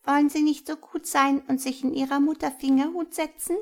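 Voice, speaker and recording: A woman voicing a small, polite female mouse in a storybook reading, in a high squeaky voice. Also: steady tone, fairly quick. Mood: eager.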